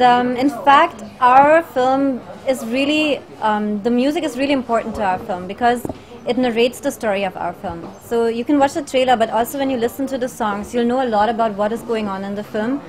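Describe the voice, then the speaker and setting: A woman actress answering press questions about her film, chatty and searching for words with many fillers. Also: a little high. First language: not Hindi. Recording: noisy crowd around the press interview.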